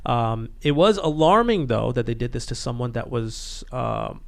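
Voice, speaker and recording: A man's voice: mid-range voice, moderate pace, creaky. A young man from Bangladesh co-hosting a podcast, talking bluntly in casual chat with lots of fillers and restarts. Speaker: podcast co-host.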